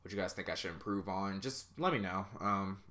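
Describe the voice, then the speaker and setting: Low-voiced guy rambling on his own podcast, lots of ums, kinda bright and forceful tone. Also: fairly quick. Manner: rambling, self-deprecating, lots of ums and likes. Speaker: podcast host. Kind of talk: casual solo podcast monologue.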